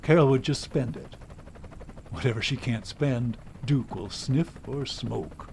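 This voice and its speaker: Casting: male actor as embittered older American dad on the run, gruff and folksy, radio play, noticeable background noise. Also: medium pitch, measured pace, darkish tone. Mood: cold, resigned. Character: bitter, runaway old wealthy father, cagey and unsentimental. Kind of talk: audio drama dialogue.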